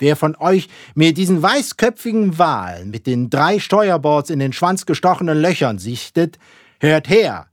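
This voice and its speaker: Man performing a fanatical sea captain, storybook drama, loud, high-pitched, declamatory, wildly animated, commanding. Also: dry recording, clean studio sound. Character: fanatical, commanding whaling captain, roaring with obsession. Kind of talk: dramatic audiobook character reading.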